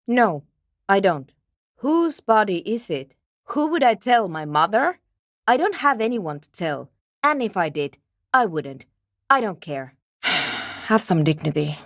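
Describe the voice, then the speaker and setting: A woman's voice: medium pitch, fairly bright tone, no room sound, clean studio sound. An actress playing a weary, obsessive and prickly woman programmer in a video game, with a terse, precise way of talking. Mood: weary, defeated. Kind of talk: dramatic game dialogue.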